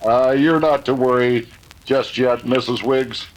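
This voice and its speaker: An actor playing a commanding, steady masked western hero with a formal manner, in 1930s radio drama style. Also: male voice, medium pitch, natural voice, low-quality recording. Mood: calm, commanding. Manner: formal, deliberate.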